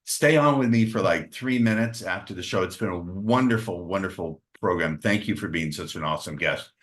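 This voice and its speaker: A man's voice: deep voice, fairly quick, fairly bright tone. A man hosting an interview podcast, enthusiastic and chatty as he wraps up with his guest. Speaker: podcast host.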